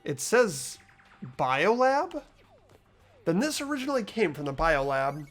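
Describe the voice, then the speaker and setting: A player acts out an earnest, mild-mannered teenage boy detective from a game, thinking aloud. The delivery is hesitant but animated in pitch, with music underneath. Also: measured pace. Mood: curious, thinking aloud. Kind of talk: amateur voice acting and inner monologue of a game script.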